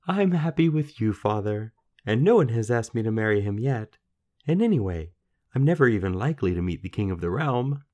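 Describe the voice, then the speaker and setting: A man voicing a gentle, anxious young peasant girl with a plaintive, pleading manner, for a storybook. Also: fairly low pitch, big pitch swings, fairly quick, highs cut off, voice pushed up. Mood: gentle, modest. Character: young, gentle, anxious poor weaver's daughter.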